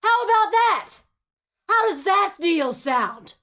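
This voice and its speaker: A woman playing a composed, dutiful lieutenant to a crime boss in a video game, speaking in a measured, formal, businesslike way. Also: very high-pitched, big pitch swings, pushed and bright, full character voice. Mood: cold, menacing fury. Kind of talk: video game character voice acting.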